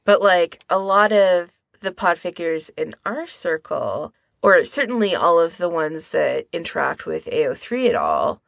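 A woman with a slightly low, creaky voice co-hosts a casual discussion. The recording is dry and close, without room sound. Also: big pitch swings, measured pace, few pauses, a bit bright, dry recording. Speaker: podcast co-host.

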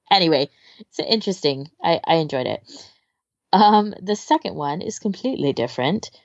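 Low, creaky-voiced woman chatting casually. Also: lively intonation, moderate pace, pauses often, no room sound, lo-fi sound. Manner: informal, rambling, hesitant, trailing off. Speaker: podcast co-host. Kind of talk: casual chat, recommending fan fiction audio.

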